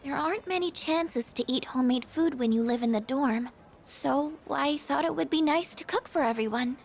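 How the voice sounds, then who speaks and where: A woman playing a shy, gentle, insecure teenage girl in a video game, with a hesitant, apologetic, stammering way of talking. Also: high voice, normal speed, gravelly, a little hiss or hum. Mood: flustered, self-doubting. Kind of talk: video game character dialogue voice acting.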